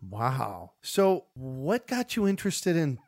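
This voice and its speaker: Podcast host with a dry close voice. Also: male voice, fairly high pitch, sing-song, slow pace, a little mellow, no room sound. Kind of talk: scripted intro and casual interview questions.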